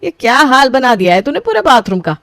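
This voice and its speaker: A woman's voice: high voice, sing-song, brisk, fairly bright tone, no echo. A woman voicing a strict, frugal middle-aged mother-in-law who scolds and bosses her new daughter-in-law, in a dry close recording. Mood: scolding. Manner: scolding, bossy, calls everyone beta. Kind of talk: scripted family story voicing.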